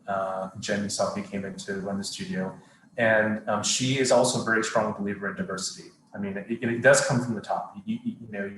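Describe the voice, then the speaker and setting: A man's voice: on the low side, fairly bright tone, a little hiss or hum. A middle-aged man, a TV studio executive, answering interview questions thoughtfully, with many fillers and restarts. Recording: roomy echo.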